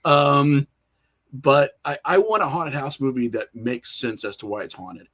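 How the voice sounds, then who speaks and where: A man's voice: mid-range voice, measured pace, a bit bright. Middle-aged man, opinionated and rambling. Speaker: podcast guest, horror filmmaker and writer. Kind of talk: casual interview conversation.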